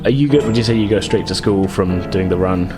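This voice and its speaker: Low-voiced man running a tabletop game. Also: fairly quick. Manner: conversational, improvised, hesitant hedging. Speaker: game master. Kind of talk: narrating a tabletop roleplaying game. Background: background music.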